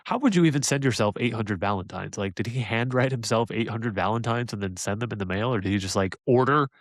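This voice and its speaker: Male podcast co-host, lowish voice, dry close mic, rambling with frequent laughs. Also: quick pace, no room sound. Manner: rambling, hesitant, laughs often. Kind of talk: casual chat.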